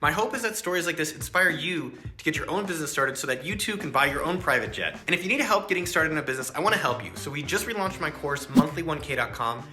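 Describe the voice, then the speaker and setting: A man's voice: fairly high pitch, expressive, fast talker, hardly any pauses, bright, forceful tone, soft background music. A man narrating his video channel in a scripted, upbeat promotional style.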